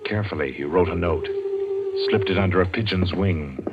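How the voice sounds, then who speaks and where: A man announces and narrates a 1940s radio suspense drama in a dramatic, ominous, measured manner with suspenseful pauses. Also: deep voice, very noisy. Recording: muffled vintage radio broadcast. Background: loud dramatic orchestral music.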